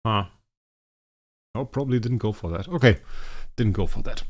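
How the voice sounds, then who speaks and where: A young man, a native German speaker, casually thinking aloud as he streams a game, his sentences often trailing off quietly. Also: lowish voice, lively intonation, normal speed. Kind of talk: casual livestream commentary.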